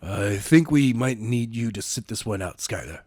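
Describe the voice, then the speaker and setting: A man reading a calm male ally who lays out a plan in a measured, reasoning way, in his own voice. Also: lowish voice, breathy edge, no room sound, clean studio sound. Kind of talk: amateur audiobook reading.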